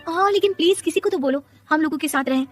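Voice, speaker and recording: A woman's voice: sits high, fast talker, music in the background, pitched above the performer's own voice, lightly acted. A woman voicing an eager young village-bred wife who pleads and nags emphatically in a story. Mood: pleading.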